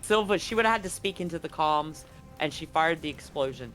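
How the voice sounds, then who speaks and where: A game master running a live tabletop roleplaying game, narrating and bantering excitably and repeating phrases for emphasis. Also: medium pitch, brisk, low-quality recording. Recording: music behind the voice. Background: background music.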